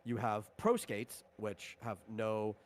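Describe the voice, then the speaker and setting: A man's voice: on the low side, slow pace. A man acting as game master, talking through the rules and equipment of a tabletop game in an unscripted way.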